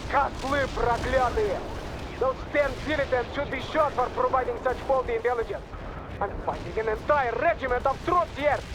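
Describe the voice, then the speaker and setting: Fiery Soviet game captain: very high voice, Russian accent, defiant and urgent, with loud combat noise behind. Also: male voice, normal speed. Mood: angry defiance. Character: hot-headed, fanatical Soviet army captain in combat. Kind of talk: scripted video game military radio dialogue. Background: artillery fire, gunfire and vehicles.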